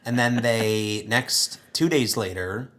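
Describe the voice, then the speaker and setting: A man co-hosting a comedy podcast, giving a casual recap with dry, halting storytelling. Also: mid-range voice, a bit bright. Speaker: podcast co-host.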